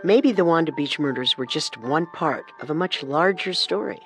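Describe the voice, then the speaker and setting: A woman co-hosting a scripted true crime podcast with dramatic, deliberate delivery. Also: deep voice, expressive, soft background music. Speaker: podcast co-host and narrator.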